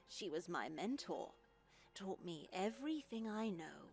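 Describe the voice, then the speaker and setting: Older convent nun for a visual novel: genial, teasing, measured and slow, lively pitch. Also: female voice, creaky, full character voice. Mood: teasing, musing. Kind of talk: visual novel game voice acting.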